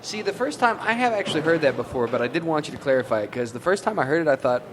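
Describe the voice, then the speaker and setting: A man co-hosting a podcast, making playful deadpan jokes in casual chat at a brewery. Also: a little high, racing. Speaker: podcast co-host.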